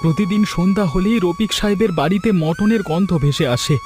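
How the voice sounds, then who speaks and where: A man acts a hardworking, poor farmer in a cartoon folk tale, proud yet downhearted. His male voice sits a little high and sounds plaintive and earnest, with music behind it. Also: wildly swooping pitch, moderate pace, a little mellow. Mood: weary, hurt.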